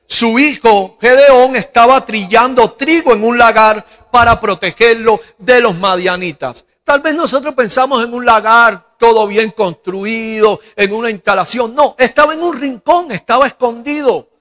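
A middle-aged Cuban pastor preaching in a very high, bright voice at a slow, measured pace. He talks into a handheld mic and leans on rhetorical questions. The large church hall adds a long reverb behind him. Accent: Cuban Spanish. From Cuba. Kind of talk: sermon.

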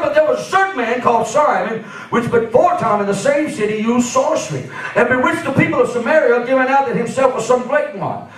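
Male Pentecostal preacher, fifties, fervent and emphatic, distant heavily echoing church sound. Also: extremely high pitch. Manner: fervent, emphatic, punctuated with amens. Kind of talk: Pentecostal sermon. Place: church sanctuary, at and around pulpit. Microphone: handheld microphone. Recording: very echoey church hall, from far off.

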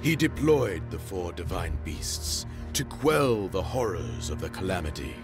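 Male storyteller for fantasy narration: solemn, low register, expressive intonation. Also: unhurried, music in the background.

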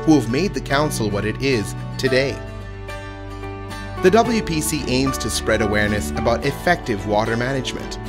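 Male documentary narrator, emphatic scripted delivery, animated pitch, music behind.

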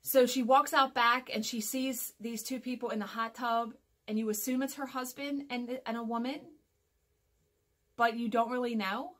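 A woman's voice: a little high, steady tone, moderate pace, fairly bright tone. A woman in her forties chatting casually to viewers in a rambling, emphatic way, often correcting herself. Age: 40s. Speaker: vlogger. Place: small furnished room at home, indoors.

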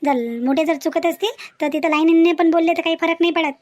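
A woman's voice: very high voice, fairly quick, a little mellow, dry recording. A woman playing an outspoken, lecturing young niece in a family cartoon. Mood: indignant. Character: outspoken young niece scolding dowry-seeking elders. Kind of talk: cartoon family drama dialogue.

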